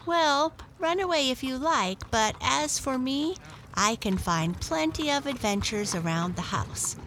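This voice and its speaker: A woman voicing a chatty, curious female house cat in a storybook, pitching her voice high and asking eager questions. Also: lively intonation, unhurried, a bit bright, a little hiss or hum, full character voice, low-quality recording.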